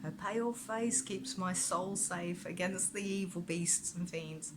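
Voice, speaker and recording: A woman voicing a resourceful male adventurer who thinks aloud in a dark fantasy audiobook. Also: fairly low pitch, measured pace, background noise, natural voice. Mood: chanting. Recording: music behind the voice.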